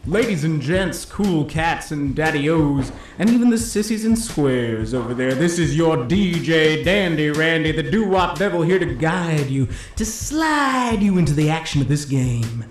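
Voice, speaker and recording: A slick 1950s disc jockey in a slightly high voice, calling bowling play-by-play over music in an echoing room. He is cheeky and theatrical, rolling out hepcat patter and stretching words for show. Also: expressive. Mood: showy, teasing. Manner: showy hepcat patter with drawn-out flourishes. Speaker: play-by-play announcer. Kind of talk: 1950s radio-style sports commentary. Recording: roomy echo, music underneath.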